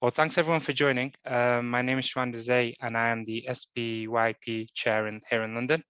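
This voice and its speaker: A man's voice: mid-range voice, level tone, fairly quick, a bit bright, smooth, dry recording. A man chairing an online event and introducing the speakers, hesitant, with many fillers and repeated words, over a video call.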